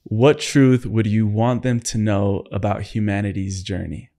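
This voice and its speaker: A young man hosting an interview podcast, calm and curious in manner. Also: fairly low pitch, measured pace, vocal fry. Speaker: podcast host. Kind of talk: casual interview talk.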